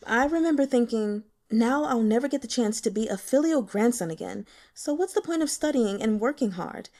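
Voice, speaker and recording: A woman voicing a shy, awkward young man who speaks gently, haltingly and sincerely in an audiobook. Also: fairly high pitch, expressive. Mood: earnest consoling. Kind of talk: audiobook character dialogue.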